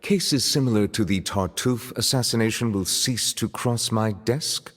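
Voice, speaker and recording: A man playing a dignified, grave high judge in a video game, speaking in a stately and scrupulously formal way. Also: unhurried, fairly bright tone, long reverb tail, a little hiss or hum. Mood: formal, guarded. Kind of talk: scripted video game voice acting.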